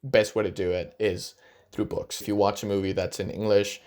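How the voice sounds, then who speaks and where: A man's voice: on the low side, little pitch movement, a little mellow. Earnest young man giving advice. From Argentina. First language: Spanish. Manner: earnest, encouraging, sometimes slow and stressed. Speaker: vlogger. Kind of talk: casual advice talk to camera.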